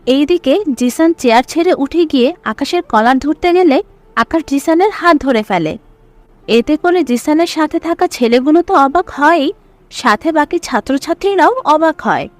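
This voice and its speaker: A woman's voice: high voice, expressive, normal speed. A woman reading scripted story narration as the narrator.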